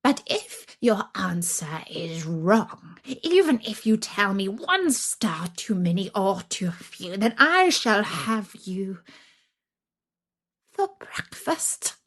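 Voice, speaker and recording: A woman's voice: medium pitch, big pitch swings, takes it slowly, a bit bright, full character voice. A woman voicing a cruel, fierce old witch in a storybook, drawing out threats in a swooping voice. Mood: sly menace.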